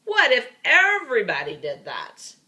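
A woman's voice: a little high, wildly swooping pitch, unhurried, pushed and bright, voice pushed up, exaggerated voice. A woman voicing stern grown-ups who emphatically scold a naughty child in a storybook.

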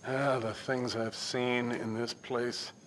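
An actor playing a jaded, wisecracking senior coroner in a video game cutscene, dry and clinical in manner. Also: male voice, medium pitch, little pitch movement, takes it slowly, a little hiss or hum, natural voice, low-quality recording.

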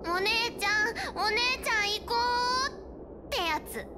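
A woman voicing a blunt, teasing, sharp-witted young girl in a Japanese video game. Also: very high-pitched, expressive, a little slow, very noisy.

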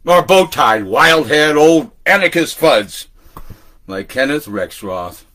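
An older man delivers a dramatic monologue as a beat-era storyteller. His voice is bright and fairly high for a man, and he takes his time, halting and stretching words with animated flair. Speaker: solo performer.

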